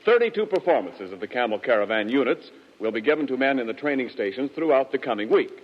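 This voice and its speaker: A man's voice: medium pitch, animated, fast talker, very noisy. A man working as a radio announcer, reading ads and announcements in 1940s radio style. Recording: muffled 1940s radio broadcast.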